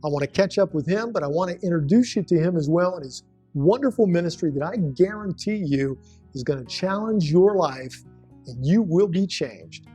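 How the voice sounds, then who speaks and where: High-voiced enthusiastic male talk-show host. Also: lively intonation, moderate pace, dark tone, slightly rough, soft background music. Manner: enthusiastic, emphatic. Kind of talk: faith talk show hosting.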